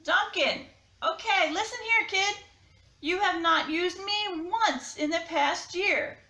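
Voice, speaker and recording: A woman's voice: extremely high pitch, takes it slowly, sharp tone, reverberant, voice pushed up, heavily acted. A woman voicing a sassy, neglected pink crayon in a storybook read-aloud. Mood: sassy, miffed. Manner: sassy, pointed.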